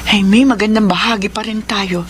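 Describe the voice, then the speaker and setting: A woman's voice: medium pitch, fairly even intonation, a little fast, background noise, natural voice. An actress playing a humble, grateful, devout poor mother in a Filipino radio drama. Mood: thankful.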